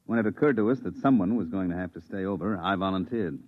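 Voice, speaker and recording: Weary moon-base pilot, low voice, measured and reflective, muffled vintage radio sound. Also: male voice. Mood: steady. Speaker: lead in a radio play. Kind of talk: 1950s radio drama acting.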